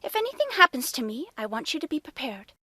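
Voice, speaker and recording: A woman's voice: high voice, big pitch swings, quick pace, pushed and bright, no echo, clean studio sound, full character voice. A woman playing a whimsical magic teacher in a game fan dub, reassuring yet secretive. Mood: uneasy, reassuring.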